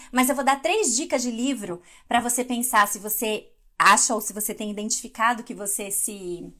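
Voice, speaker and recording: A middle-aged woman from Brazil leads a live devotional in a chatty, conversational way, pausing to answer viewers. Her voice sits a little high for a woman. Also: a bit bright. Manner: conversational, reads live comments aloud. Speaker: devotional speaker.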